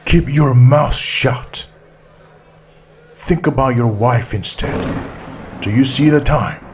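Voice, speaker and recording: A menacing hijacker in an audiobook thriller, acted by a male narrator. He is domineering and taunting, slow in delivery and medium in pitch, over faint noise. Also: natural voice. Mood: threatening.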